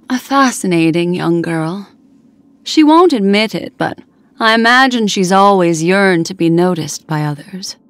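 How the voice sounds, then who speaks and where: Mysterious fortune-reader woman for a game: unhurried, lower-toned, composed and musing, very dry recording. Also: no room sound. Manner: measured, elegant, musing. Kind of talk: video game character voice acting.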